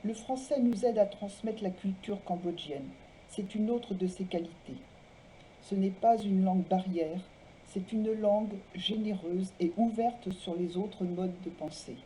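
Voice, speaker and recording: A woman presenting and reading literary texts aloud in a measured way, phrase by phrase. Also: medium pitch, warm tone, a little hiss or hum, low-quality recording. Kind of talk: literary talk, biographical introductions and read-aloud texts.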